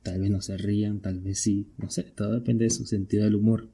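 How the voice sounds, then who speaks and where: A man's voice: lowish voice, level tone, brisk, creaky, warm tone, breathy edge. A Venezuelan man hosting a casual solo podcast, talking conversationally and often posing questions that he then answers himself. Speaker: podcast host.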